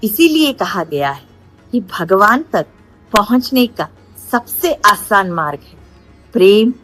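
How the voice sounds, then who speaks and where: A woman narrating devotional stories in a dramatic storytelling style, with deliberate phrasing and pauses. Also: mid-range voice, poor audio. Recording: faint background music. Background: soft music.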